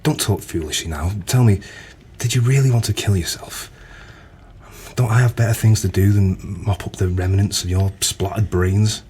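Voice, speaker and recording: An actor playing a gruff but kindly pub landlord in an audio drama, weary and plain-spoken. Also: male voice, fairly low pitch, normal speed, mellow, a little hiss or hum, close to an everyday voice. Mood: scolding.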